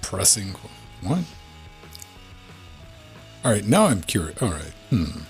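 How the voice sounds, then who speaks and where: A man with a fairly low voice hosts a casual podcast. His intonation is highly animated, jumping around as he rambles and tosses in joking asides. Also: takes it slowly, soft background music. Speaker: podcast host.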